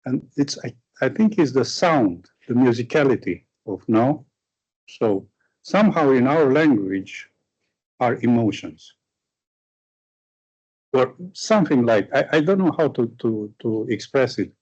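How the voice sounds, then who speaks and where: A lecturer speaks English with a Romanian accent, slowly and with pauses as he searches for the right word. His voice sounds a little muffled, as on a lo-fi recording. He comes across as thoughtful and unhurried. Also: medium pitch, lively intonation, darkish tone. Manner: halting, searching for words. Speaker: visiting lecturer. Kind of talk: answering a question after a lecture.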